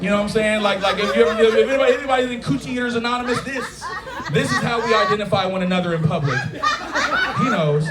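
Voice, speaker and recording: A man's voice: high-pitched, quick pace, heavy background noise. A man in his thirties doing a crude, conversational stand-up set at a taproom, often checking in with the crowd. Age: 30s. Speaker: stand-up comedian. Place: taproom stage before a live crowd. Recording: echoey bar room with crowd. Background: audience laughter.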